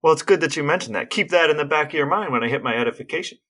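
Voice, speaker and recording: A man with a slightly high-pitched voice co-hosts a conversational podcast. He explains details precisely, with a playful manner that keeps it informal. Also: rapid-fire, a bit bright. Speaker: podcast co-host.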